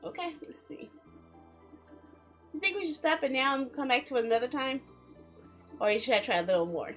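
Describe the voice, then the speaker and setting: A woman streaming a game in a casual, expressive, chatty way, reading story text aloud and reacting to it. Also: sits high, moderate pace. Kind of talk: casual live let's play, reading visual novel text aloud. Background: background music.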